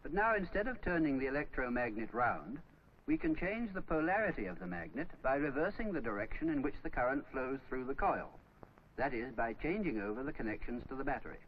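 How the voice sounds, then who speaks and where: A man's voice: medium pitch, big pitch swings, fairly quick. A man narrating a scripted 1950s instructional film, explaining step by step in a didactic way. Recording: dull, noisy old 1950s film soundtrack.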